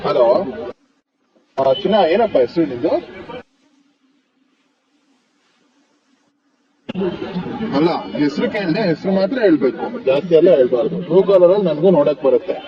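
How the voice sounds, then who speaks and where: A noisy, muffled phone recording of a man acting as a stern police inspector with a Karnataka accent. He has a fairly high voice and interrogates by repeating questions, moving between fatherly coaxing and threats. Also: animated, quick pace, crowd noise, close to an everyday voice. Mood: coaxing, firm. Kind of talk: prank phone call. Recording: muffled, distant phone call with loud noise.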